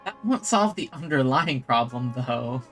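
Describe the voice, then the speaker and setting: A man chats informally through a game stream. His voice sits at a middle pitch as he reads dialogue and thinks aloud, with faint music behind. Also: expressive, unhurried. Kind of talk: casual game stream, reading dialogue and commenting.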